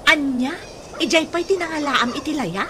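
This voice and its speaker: Actress as excitable Ilocano wife in radio comedy, high voice with big pitch swings. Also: female voice, moderate pace. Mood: questioning. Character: excitable, questioning village wife. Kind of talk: comedy radio drama acting. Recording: noisy track with animal sounds.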